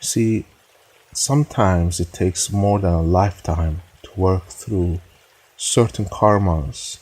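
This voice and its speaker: Slow, husky, low-voiced man guiding meditation. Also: a little mellow. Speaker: guided meditation teacher. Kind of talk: guided meditation narration.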